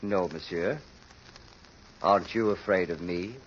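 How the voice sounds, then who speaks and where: An actor in an old radio drama playing a calm, kindly clergyman who speaks gently and courteously. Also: low-pitched, unhurried. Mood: calm, welcoming. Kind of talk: 1930s radio drama acting. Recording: very noisy, muffled old radio recording.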